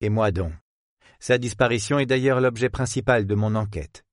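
A man voicing a polite, witty male detective who speaks in a measured, courteous way. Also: on the low side, no echo, clean studio sound, natural voice.

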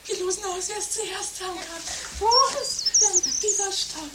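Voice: very high-pitched, steady tone, normal speed, heavy background noise. A performer playing an earnest young girl escaped from kidnappers in an improvised radio play.

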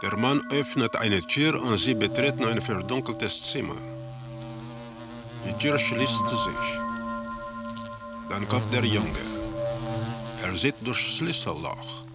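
An actor playing a detached narrator in a radio drama, describing eerie scenes in a measured, matter-of-fact way. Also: male voice, fairly low pitch, animated, over loud music. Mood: detached, ominous.

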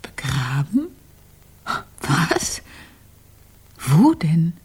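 Young artists model in radio crime play, soft husky voice, polite but teasing, very expressive pitch. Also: female voice, extremely slow, full character voice. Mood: incredulous. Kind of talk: German radio crime drama acting.

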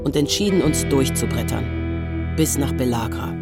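An actress playing a wry, melancholy writer around forty, narrating a radio drama in a dry, laconic way. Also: female voice, extremely low pitch, expressive, normal speed. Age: 40s. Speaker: first-person narrator. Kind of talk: scripted literary narration. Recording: prominent music under the narration.

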